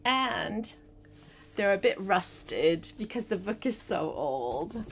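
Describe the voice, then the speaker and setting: An older woman, a grandmother, reading a storybook aloud in an expressive way and chatting directly to a child as she goes. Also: mid-range voice, slow pace, slight background noise. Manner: animated, addresses the young listener with questions.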